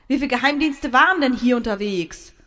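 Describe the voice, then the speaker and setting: Lady doing a grumpy rival spy, lower voice, dry and scornful. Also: brisk, a bit bright, long reverb tail. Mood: grumbling. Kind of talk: improvised audio play.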